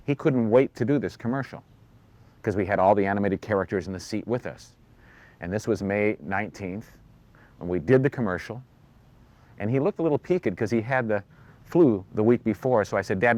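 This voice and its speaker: A man speaking in a plain, matter-of-fact way as he recalls memories in an interview. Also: fairly low pitch. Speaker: interviewee recalling his father. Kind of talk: reminiscing in a documentary interview.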